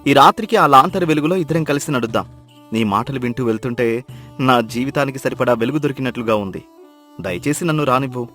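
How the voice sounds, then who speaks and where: A man's voice: medium pitch, expressive, fast talker, fairly bright tone, faint music behind, close to an everyday voice. An actor playing an educated young city man, humbled and repentant, speaking earnestly and emphatically in a dramatized moral story. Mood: earnest, grateful.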